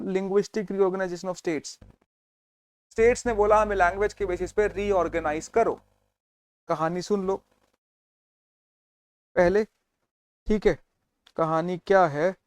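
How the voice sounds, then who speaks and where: A man's voice: high-pitched, a little mellow, clean tone, dry recording. A man teaching an exam revision lesson, telling the history as a story and checking in with his students as he goes. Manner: storytelling, mixes Hindi and English, checks in with students.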